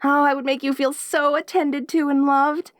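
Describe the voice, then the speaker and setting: A woman's voice: high voice, flat delivery, moderate pace, no echo, studio quality, close to an everyday voice. A woman acting a lonely, clingy caged demon girl in an audio roleplay, perky and cutesy, gushing and stammering at the listener.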